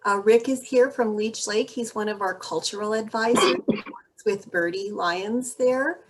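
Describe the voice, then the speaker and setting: A woman's voice: mid-range voice, unhurried, background noise. Woman hosting a video meeting. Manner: warm, gracious introductions. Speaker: meeting host. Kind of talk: online meeting facilitation. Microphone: video call.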